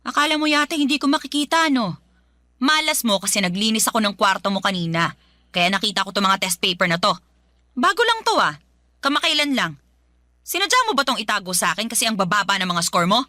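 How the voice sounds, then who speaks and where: Casting: belittling Filipino mom, radio drama, piercing and animated, sharp-tongued. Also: female voice, mid-range voice, fairly quick, dry recording, clean studio sound. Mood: stern, accusing. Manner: sharp, nagging, confrontational. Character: stern, scolding, belittling Filipino mother of a teenager.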